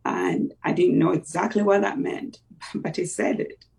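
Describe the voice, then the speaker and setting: Gravelly voice, halting. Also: mid-range voice, big pitch swings, a little mellow, distant mic. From Uganda. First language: Luganda. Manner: reflective, halting, with many fillers and restarts. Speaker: interview guest. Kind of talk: personal faith testimony in conversation.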